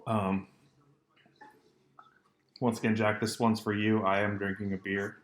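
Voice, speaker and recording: Low-voiced man rambling on his podcast. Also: measured pace. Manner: rambling, deadpan, many ums and asides. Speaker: solo podcast host. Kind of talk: rambling solo podcast chat.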